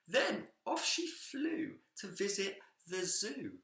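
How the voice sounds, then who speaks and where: A man reading a children's picture book aloud in an expressive, animated storytelling manner. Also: high voice, slow. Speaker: school story-time reader, teacher. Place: indoors, seated in a reading chair. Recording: echoey room.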